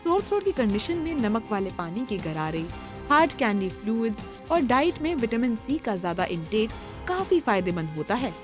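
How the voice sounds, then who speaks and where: A woman's voice: on the high side, sing-song, normal speed. A woman reading scripted health tips in an emphatic, list-like voice-over. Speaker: voice-over narrator. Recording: voice-over with clear music.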